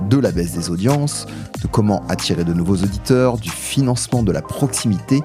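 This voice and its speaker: A man's voice: lowish voice, animated, fairly quick. A man reading a scripted podcast outro and preview as the host. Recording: voice over clear music bed. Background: music.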